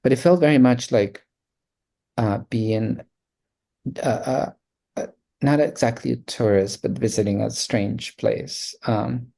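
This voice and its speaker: Colombian man, thirties, gravelly, mellow dark tone, hesitant and reflective. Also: medium pitch, fairly even intonation, takes it slowly, pauses often. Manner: reflective, hesitant, many fillers and restarts. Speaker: guest artist. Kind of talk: casual interview conversation.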